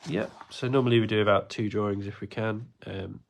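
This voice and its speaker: A man's voice: lowish voice, steady tone, fairly quick, vocal fry. A man, stuffy from a cold, chatting his way through a live drawing lesson with plenty of ums and uhs. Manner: rambling, many ums and uhs. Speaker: art teacher running a livestream class. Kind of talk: casual livestream drawing lesson.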